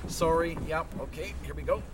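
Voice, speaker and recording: An actor in a satirical audio drama playing a fast-talking, evasive middle-aged TV writer who stammers and repeats himself as he cajoles. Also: a little high, noisy background, close to an everyday voice. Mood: hurried, glad-handing.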